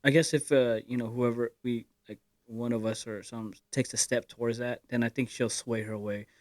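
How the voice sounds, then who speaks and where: A man's voice: medium pitch, little pitch movement, moderate pace, dry recording, clean studio sound. A young man co-hosting a podcast in casual chat, rambling with many fillers. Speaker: podcast co-host.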